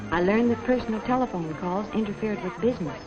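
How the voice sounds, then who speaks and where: An actress playing a polite, earnest young American office worker, narrating a 1950s training film in a measured, proper way. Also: female voice, medium pitch, close to an everyday voice. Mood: reflective, earnest. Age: young adult. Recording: dull 1950s film soundtrack, music behind.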